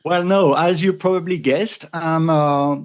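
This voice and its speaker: A man's voice: a little high, fairly even intonation, measured pace. A man in his fifties from France with a thick French accent, a podcast guest talking earnestly and thoughtfully. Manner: earnest, thoughtful, frequent restarts. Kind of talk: casual conversation.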